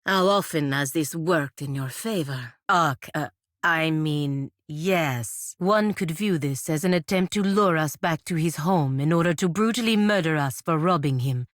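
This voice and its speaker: An actress voicing a proud, stern, dutiful woman knight and envoy in a video game, speaking in a formal, measured, dry manner. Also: low-pitched, no echo. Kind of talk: video game character dialogue.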